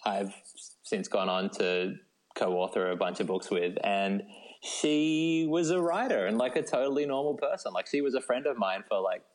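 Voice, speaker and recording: A man's voice: fairly low pitch, normal speed, poor audio. An Australian man answering as an interview guest in an informal, rambling way, peppering his talk with filler words. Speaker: novelist guest. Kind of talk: casual chatty interview answers.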